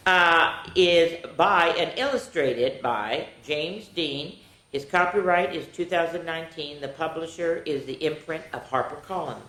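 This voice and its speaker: Echoey, slow-paced woman reading to children. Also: lowish voice, a bit bright. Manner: playful, chatty asides to young listeners. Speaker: children's storytime host. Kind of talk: animated read-aloud of picture books for young children. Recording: echoey, roomy sound.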